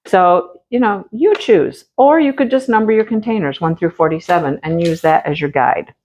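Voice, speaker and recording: A woman's voice: lowish voice, animated, normal speed, a little mellow, a little hiss or hum. Homey woman chatting thru an unboxing, kinda stuffy from a cold. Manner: chatty, homey, talks to viewers directly. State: stuffy with a slight cough. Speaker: crafting channel host. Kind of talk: casual unboxing chat.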